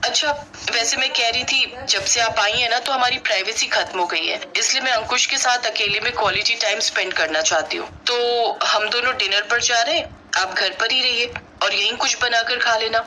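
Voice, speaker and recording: A woman's voice: on the high side, normal speed, bright, forceful tone, a little hiss or hum, rough recording. A woman voicing a selfish, snobbish young pregnant sister-in-law from the city in a wheedling, self-serving manner for a story. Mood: haughty.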